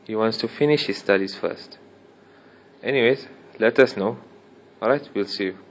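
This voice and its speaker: A man's voice: lowish voice, moderate pace, heavy background noise, natural voice. A man playing a blunt, confrontational father who defends his son. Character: blunt, defiant middle-aged father of the boy. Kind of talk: audio drama acting.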